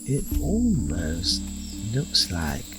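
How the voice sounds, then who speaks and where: An actor playing a proud, excitable and hapless gentleman trophy hunter in a science fiction audio drama, courtly and theatrical. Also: sits low, very slow, over music, heavily acted. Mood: flustered.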